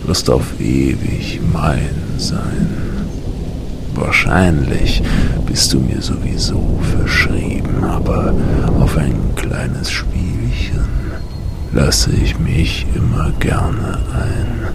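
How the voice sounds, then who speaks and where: Menacing storm spirit, extremely deep, rasping whisper, slow and domineering, audiobook fantasy villain, storm noise behind. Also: male voice, lively intonation, full character voice. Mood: menacing, luring. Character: menacing, taunting male storm spirit, lord of thunder.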